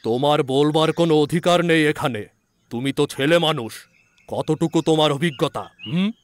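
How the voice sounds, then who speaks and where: A man's voice: on the high side, moderate pace, dry recording, lightly acted. A man voicing an argumentative older male herbal doctor in an audio story, emphatic and condescending. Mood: indignant, scolding.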